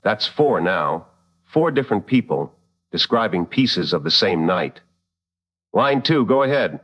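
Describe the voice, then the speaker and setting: A man playing a calm, wry late-night radio host with measured dry humor in a radio drama, slightly muffled. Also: sits low, husky, heavily acted.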